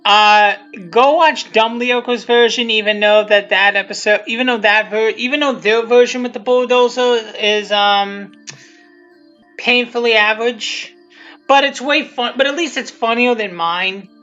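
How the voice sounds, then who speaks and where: Halting, bright-voiced rambling commentator over music. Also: medium pitch, highs cut off. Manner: halting, rambling, repeats phrases. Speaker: commentator on own abridged cartoon series. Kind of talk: casual unscripted commentary. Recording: music behind the voice. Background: cartoon episode audio with music.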